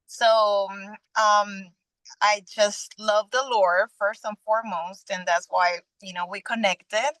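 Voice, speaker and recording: A young adult woman from Venezuela, a guest sharing her personal faith testimony in an earnest, halting way with frequent restarts. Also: mid-range voice, fairly even intonation, a bit bright, dry recording, poor audio.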